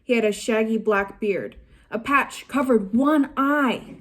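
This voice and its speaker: A woman reading a children's chapter book aloud in a slow, even storytelling manner. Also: a little high. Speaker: staff reader.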